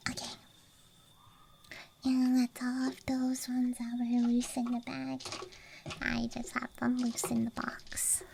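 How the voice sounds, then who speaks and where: A woman whispering softly and breathily in an ASMR style, murmuring gently as if to herself, close to the microphone. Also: on the high side, monotone, slow, creaky, pauses often, noisy background. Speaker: ASMR streamer playing a dice goblin persona. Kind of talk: ASMR, soft whispering to the listener.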